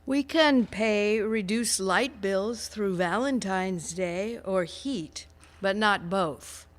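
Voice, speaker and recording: A long hall echo surrounds a woman playing a deadpan radio theater assistant. She reads out donor notes slowly and deliberately, at a medium pitch. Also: natural voice. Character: female radio show assistant drily reading donor messages. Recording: echoey hall with long reverb.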